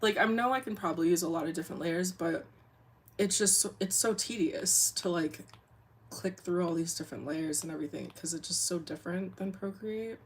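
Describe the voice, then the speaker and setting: Deep, husky young woman streamer. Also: a little fast. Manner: rambling, lots of "like" and "um". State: sniffling. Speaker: comic artist streamer. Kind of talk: casual livestream chat while drawing.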